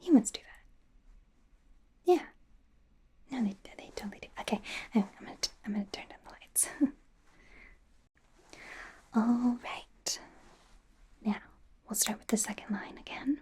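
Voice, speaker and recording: A woman voicing a bumbling, eager werewolf doctor in training in a soft breathy whisper, stammering through an ASMR exam roleplay. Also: on the high side, measured pace, pauses often. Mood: gentle, encouraging. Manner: hesitant, stammering, softly flustered. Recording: faint background noise, close-up whispering.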